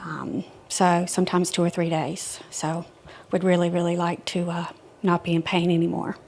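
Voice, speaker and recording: A woman who is a seminar volunteer, speaking softly and hesitantly with many fillers. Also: on the low side, little pitch movement, moderate pace, slight background noise. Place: seminar room.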